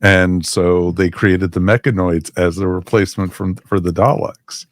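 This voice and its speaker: Low-pitched man chatting on a podcast. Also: little pitch movement, normal speed. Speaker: podcast panelist. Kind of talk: casual chat reviewing audio dramas.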